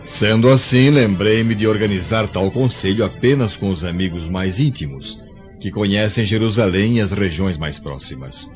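An actor playing an authoritative, courteous Roman emperor in a radio drama, stately and formal. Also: male voice, lowish voice, expressive, moderate pace, close to an everyday voice. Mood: stately, confiding. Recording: dull lo-fi recording, music behind.

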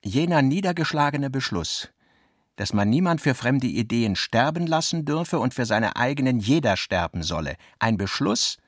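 A man playing a literary narrator in a radio drama, measured and articulate, acting out the dialogue he quotes. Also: mid-range voice, big pitch swings, no echo, clean studio sound. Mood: ironic, declaiming. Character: literary male narrator recounting and quoting his guest's talk.

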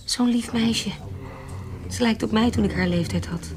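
An actress playing an anxious, scheming former baroness with second sight in a historical radio drama, grave and urgent. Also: female voice, on the high side, normal speed, crowd noise, close to an everyday voice. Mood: grave, pleading.

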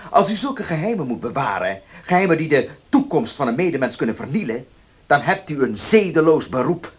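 Indignant radio-drama heir: husky, swinging intonation, blunt, formal, distrustful. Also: male voice, a little high, sing-song, a little fast. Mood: accusing. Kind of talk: Dutch radio play acting.

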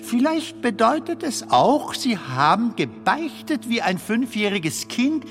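A high-voiced, naive film comedian. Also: male voice, big pitch swings, a little slow, fairly bright tone, heavily acted. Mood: reflective. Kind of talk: radio play acting. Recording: long reverb of a tunnel, music behind.

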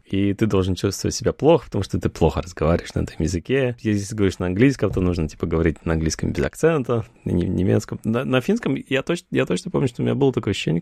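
A male podcast host asking questions in a hesitant, halting way with fillers. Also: low-pitched, creaky, a little mellow, no echo, studio quality. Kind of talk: casual interview chat.

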